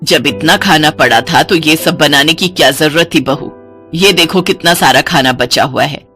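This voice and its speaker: A woman's voice: low-pitched, normal speed, a bit bright, faint music behind, pitched below the performer's own voice. Woman acting a haughty, snobbish older mother-in-law. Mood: scolding. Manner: pointed, patronizing. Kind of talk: Hindi moral-story cartoon dialogue.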